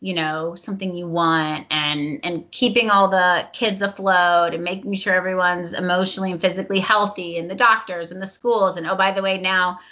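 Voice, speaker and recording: A woman's voice: lowish voice, fairly even intonation, normal speed, few pauses, sharp tone. A middle-aged woman talking reflectively in a casual conversation, with frequent "um" and "you know". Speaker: guest, veteran spouse advocate. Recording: dull lo-fi sound.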